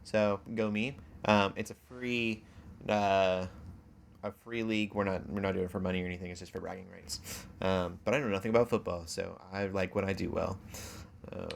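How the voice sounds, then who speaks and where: Male podcast host, lowish voice, casual and self-deprecating, audible background noise. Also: steady tone, moderate pace, creaky. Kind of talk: casual chat.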